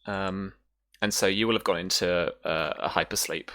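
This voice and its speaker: A man narrating a tabletop roleplaying game as game master, in a hesitant, halting way. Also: deep voice, steady tone, fairly bright tone.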